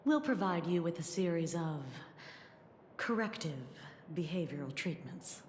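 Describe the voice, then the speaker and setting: An actress playing a composed, clinical government official in a video game, speaking in a measured, formal way. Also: female voice, on the low side, animated, a little mellow, reverberant, slight background noise. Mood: detached, formal. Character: composed, clinical female official of a sci-fi emergency agency. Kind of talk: scripted video game voice acting.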